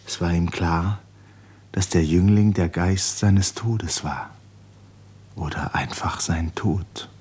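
A man narrates a radio drama in a fairly low voice. His delivery is literary and measured, with dramatic weight and deliberate pauses between phrases. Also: darkish tone, breathy edge.

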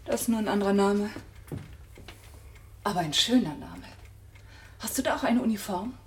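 A woman's voice: mid-range voice, expressive, normal speed, natural voice. A sarcastic, disillusioned woman, acted by an actress in a radio play. Her speech is dry and reproachful, with faint room noise behind it. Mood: bitter sarcasm. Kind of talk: German radio drama acting.

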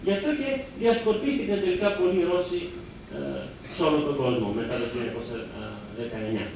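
Male lecturer, high and very animated voice, emphatic explainer with halting self-corrections, roomy live-hall sound. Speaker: history lecturer. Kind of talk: public lecture, semi-improvised explaining. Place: lecture hall, speaking to an audience. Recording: very echoey hall, distant mic, faint noise.

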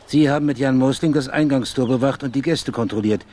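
A man's voice: mid-range voice, fairly quick, warm tone, close to an everyday voice. An actor playing a laconic, matter-of-fact male private detective in a radio drama, persistent in his questioning. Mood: insistent.

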